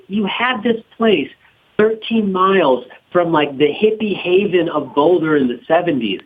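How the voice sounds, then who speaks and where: A man being interviewed as a documentary producer, talking informally and haltingly as he thinks aloud. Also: a little high, breathy edge, phone-quality sound.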